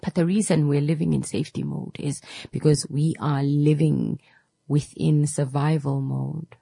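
Woman, thirties, motivational host, reflective, keeps asking "right?", low pitch, gravelly. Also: steady tone, measured pace, warm tone, no room sound, clean studio sound. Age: 30s. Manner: reflective, asks "right?" often. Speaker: host taking calls. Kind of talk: motivational talk.

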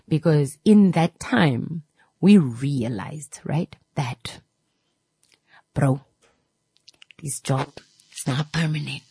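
A woman's voice: low-pitched, gravelly, dark tone, slightly rough, no room sound. A woman, hosting a reflective motivational talk and taking calls, often checking in with "right?". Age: 30s.

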